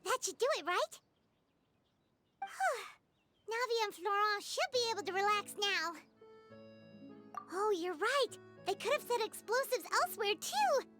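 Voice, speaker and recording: A woman playing a tiny, excitable, childlike fairy companion with a squeaky voice in an anime-style video game. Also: measured pace, pauses often, soft background music, exaggerated voice. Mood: relieved, excited. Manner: exclamatory, refers to self in third person.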